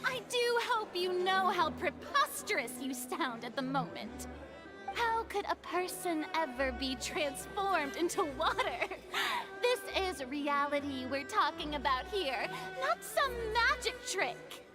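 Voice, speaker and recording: A woman's voice: very high-pitched, big pitch swings, slow pace, exaggerated voice. A woman voicing a haughty, theatrical young water goddess in a video game, with grandiose courtroom oratory over music. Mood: dismissive. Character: theatrical, haughty young female hydro archon presiding over a trial. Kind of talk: dramatic video game voice acting.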